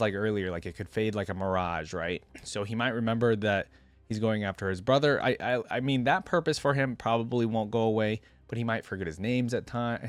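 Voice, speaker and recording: A man's voice: on the low side, no room sound. A man doing casual reaction commentary, thinking aloud with lots of filler "like" and reading game text aloud. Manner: thinks aloud, hedging with frequent "like". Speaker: reaction video host.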